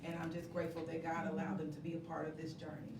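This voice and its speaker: An echoey, noisy room recording with a woman heard away from the mic. Her voice is on the low side, and she talks conversationally as an interview guest, earnest and heartfelt. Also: monotone, fast, distant mic. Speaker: play's creator as interview guest. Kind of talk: conversational live group interview.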